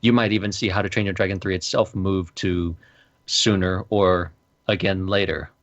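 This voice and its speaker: A man co-hosting a casual podcast, explaining his points in a measured way. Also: low-pitched, steady tone, no room sound, muffled recording. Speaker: podcast co-host. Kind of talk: casual discussion.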